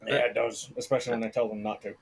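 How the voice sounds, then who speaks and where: A man playing a tabletop game on a live stream, teasing and quick to laugh. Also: medium pitch, little pitch movement, breathy edge. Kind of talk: joking tabletop game banter. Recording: faint background noise.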